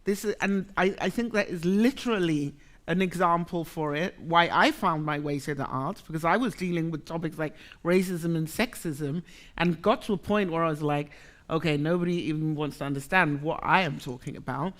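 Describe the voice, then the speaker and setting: A middle-aged woman from the Caribbean who grew up in Germany, speaking as a professor on a lecture panel in a reflective, animated way, laughing easily. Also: low voice, moderate pace. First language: English, Caribbean variety. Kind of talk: panel discussion.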